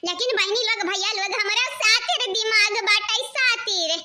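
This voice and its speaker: A woman's voice: extremely high pitch, fairly even intonation, moderate pace, pushed and bright, full character voice. A woman voicing a crafty, defiant old lame village mother-in-law in a cartoon, scolding and boasting with an Awadhi accent. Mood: boastful scheming. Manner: boastful, scolding, repeats words for emphasis. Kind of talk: exaggerated comic cartoon acting.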